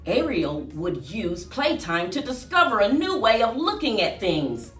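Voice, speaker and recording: Expressive woman reading storytime, slow and roomy. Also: mid-range voice. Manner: expressive, singsong delivery for children. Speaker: children's library storyteller. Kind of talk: read-aloud storytime with rhyming chant. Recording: roomy echo, distant mic, faint music.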